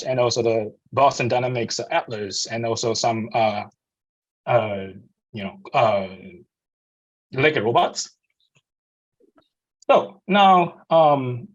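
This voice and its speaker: Man with taiwanese accent, guest speaker, halting with many fillers, slightly muffled video-call audio. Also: mid-range voice. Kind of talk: slide presentation to students.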